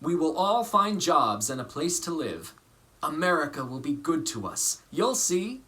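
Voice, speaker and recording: A man's voice: on the high side, very animated, a little slow, pushed and bright, heavily acted. A man reading the part of a hopeful immigrant musician in a storybook, earnest and encouraging. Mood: reassuring, hopeful.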